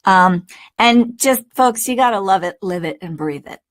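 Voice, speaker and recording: A woman with a medium-pitched voice talks as a coach to aspiring performers. Her teaching is informal and emphatic. She leans on key words with deliberate pauses and drops in conversational asides. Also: expressive. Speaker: voice acting coach. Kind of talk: informal instructional talk.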